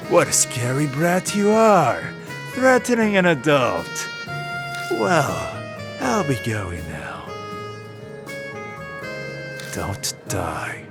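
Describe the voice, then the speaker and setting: A man's voice: on the high side, big pitch swings, very slow, music in the background, heavily acted. A man playing a mocking, slippery adult informant in a visual novel fan dub, with a drawling, taunting delivery. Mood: mocking, amused.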